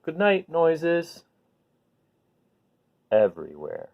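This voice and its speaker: A man hosting a children's bedtime read-aloud, talking gently and simply to viewers in an unhurried way. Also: a little high, wildly swooping pitch, vocal fry. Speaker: host reading picture books to children. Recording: phone-like narrow-band sound.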